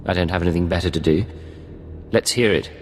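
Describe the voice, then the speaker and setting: An actor playing a confident, suave imperial secret agent in a video game, smooth and coolly menacing. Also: male voice, sits low, quick pace, natural voice. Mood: cool, threatening. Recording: game music behind the voice.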